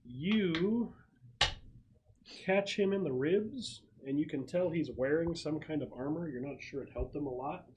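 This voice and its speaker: A man's voice: on the high side, measured pace, darkish tone. A man acting as game master, talking casually through rules and describing what happens, in a noisy recording. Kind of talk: casual tabletop game talk and rules explanation. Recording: clearly noisy room recording with clicks.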